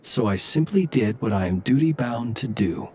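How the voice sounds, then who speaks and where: A man's voice: mid-range voice, animated, mellow, close to an everyday voice. Man acting as a formal, courteous night watchman. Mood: composed, formal. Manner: formal, polite, measured. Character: courteous, guarded night-patrolling male soldier. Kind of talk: video game voice acting. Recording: faint background ambience noise.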